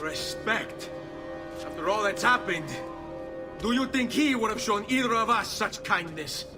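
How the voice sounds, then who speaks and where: Proud young Italian avenger. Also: male voice, high-pitched, lively intonation, measured pace. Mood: bitter. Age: young adult. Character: proud, vengeful young Italian nobleman turned assassin. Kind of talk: video game cutscene acting. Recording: loud game music behind.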